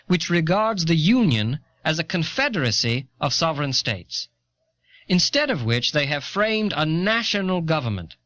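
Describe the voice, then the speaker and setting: A male actor voicing a formal, argumentative eighteenth-century American statesman, declamatory and deliberate, for an audio documentary. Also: on the high side, fairly bright tone, no echo. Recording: dull, dry cassette audio.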